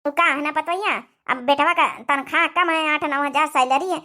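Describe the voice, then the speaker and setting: Woman playing a bright, very high-pitched rustic Awadhi mother-in-law. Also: steady tone, normal speed. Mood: coaxing. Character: eager older village mother and mother-in-law. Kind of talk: Hindi-Awadhi cartoon family comedy dialogue.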